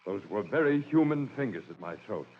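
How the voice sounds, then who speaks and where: An actor playing an older, stubborn and suspicious explorer in old-time radio drama, with emphatic, theatrical phrasing. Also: male voice, fairly low pitch, sing-song, a little fast. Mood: defiant. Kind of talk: 1940s radio drama acting. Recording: muffled, noisy old radio recording.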